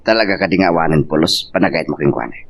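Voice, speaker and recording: A man's voice: very deep voice, rapid-fire, gravelly, a bit bright, exaggerated voice. A man playing a concerned, resigned villager in an Ilocano love drama, speaking in an earnest, subdued way. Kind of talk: Ilocano radio-style love drama acting.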